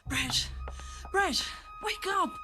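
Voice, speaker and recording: A woman's voice: extremely high pitch, very slow, background noise, full character voice. An actress playing a frightened captive woman, anxious and pleading in a drama. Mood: alarmed.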